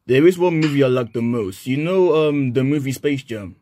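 A woman fan asking halting questions on a video call, repeating words as she goes. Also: rumbling low, lively intonation, noisy background. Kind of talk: casual video call chat.

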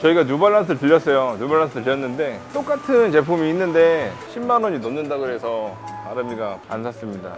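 A man's voice: medium pitch, big pitch swings, fast, few pauses, soft background music. A man talking casually as a vlog host, with a playful, teasing manner. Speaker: vlog host, husband in a couple channel. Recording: busy noisy urban public places.

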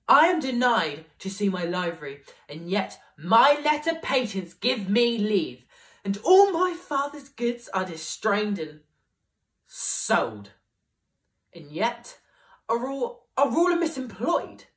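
A man's voice: high voice, very animated, takes it slowly, fairly bright tone, full character voice. A young man playing an aggrieved, banished nobleman, declaiming classical verse as he pleads for his inheritance. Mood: indignant. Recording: voice picked up from a distance.

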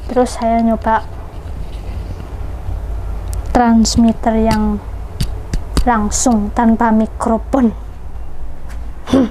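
A young woman chatting casually to viewers in a halting, self-correcting way, often asking them questions. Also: a little high, little pitch movement, dark tone. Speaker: vlogger. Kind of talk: casual vlog chat, testing a microphone. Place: indoors, hard-walled rooms at home. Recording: echoey room, distant mic, clear background noise.

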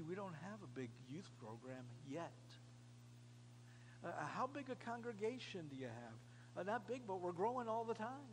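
High-pitched American pastor preaching, noisy recording. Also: male voice. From New York, United States. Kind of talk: sermon to a small congregation. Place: indoors, cafeteria used as a church hall. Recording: clearly noisy room recording with hum.

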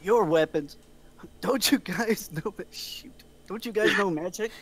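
A man's voice: sits high, measured pace. A man playing a casual, teasing member of a monster-hunting team in an amateur script read.

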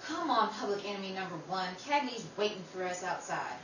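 A woman's voice: mid-range voice, brisk, natural voice. A woman playing a wry, wisecracking eldest sister who keeps the peace in an old-time radio drama. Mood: fussing. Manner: animated, wisecracking. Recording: roomy, distant mic, faint noise.

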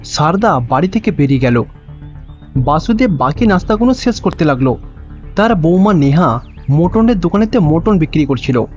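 A man with a high-pitched voice narrates a cartoon story in scripted storybook style. The sound is slightly dull and lo-fi, with music playing behind him. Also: a little mellow. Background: background music.

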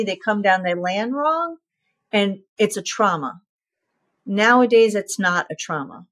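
A woman in her fifties, a veterinarian guest, explaining clearly in an interview and using vivid comparisons and repetition for emphasis. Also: mid-range voice, a bit bright.

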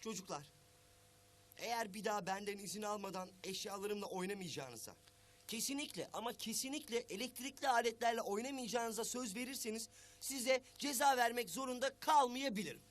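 Man voicing a high-pitched, stern cartoon guardian. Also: fairly quick, lightly acted. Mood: firm, concerned. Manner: admonishing, emphatic. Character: stern but caring adult guardian, scolding children. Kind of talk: cartoon voice acting.

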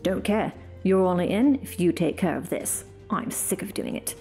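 A weary outlaw, curt and dry, tired of his chores, as a woman puts him on. His lines are short and flat in a mellow tone. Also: fairly low pitch, normal speed, creaky, soft background music.

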